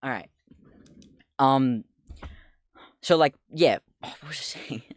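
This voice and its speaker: A young man with a deep voice talks loosely into a desk mic at home, his delivery rambling and easily distracted, full of laughs and swearing. The sound is dry and close, with no room around it. His pitch sits in an ordinary middle range for a man. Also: slow pace, dry recording. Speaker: streamer. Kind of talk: casual livestream chat.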